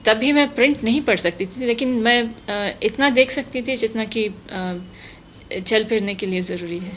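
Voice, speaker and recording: A woman's voice: fairly high pitch, normal speed. Thoughtful woman, noisy recording. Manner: thoughtful, mixes English terms into speech. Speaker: interview guest, translator. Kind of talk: measured interview answers. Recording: noticeable steady background noise.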